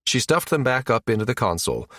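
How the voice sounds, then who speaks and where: A man's voice: medium pitch, big pitch swings, fast talker, a bit bright. A man reading a science fiction audiobook as scripted narration.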